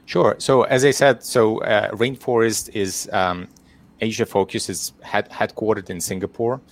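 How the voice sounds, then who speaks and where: Webinar guest: middle-aged man, Ukrainian accent, very low pitch, halting delivery. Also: steady tone. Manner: hesitant, many fillers and restarts. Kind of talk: webinar interview.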